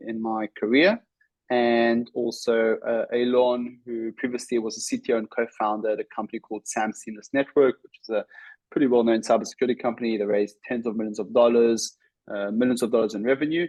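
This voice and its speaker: A man in his thirties with a South African accent, a guest telling his career story in casual interview talk, with lots of "um" and "uh" pauses. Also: medium pitch, a little mellow, no room sound, low-quality recording. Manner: talks in short bursts with many "um" and "uh" pauses. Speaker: guest, startup CEO.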